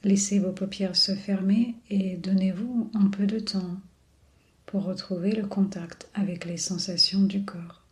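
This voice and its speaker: A woman leading a guided body scan meditation in a soothing, unhurried manner with long pauses. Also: medium pitch, fairly even intonation. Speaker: yoga and relaxation guide.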